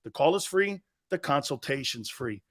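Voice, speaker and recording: A man's voice: mid-range voice, animated, moderate pace, fairly bright tone. A middle-aged man hosting a sports talk show, telling stories with laughter and acting out other people's voices, then reading an ad. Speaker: host. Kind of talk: storytelling chat with ad read.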